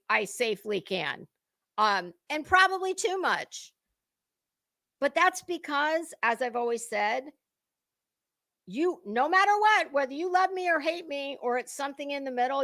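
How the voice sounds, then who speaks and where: A woman's voice: sits high, pushed and bright. A woman giving an unscripted commentary rant to her viewers, blunt, sweary and confrontational, leaning hard on emphatic words. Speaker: online commentary creator.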